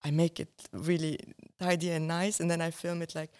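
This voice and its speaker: A young woman, a filmmaker talking as the guest in a relaxed interview and often restarting as she searches for words. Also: fairly low pitch, moderate pace. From Syria. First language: Arabic. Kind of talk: casual conversation.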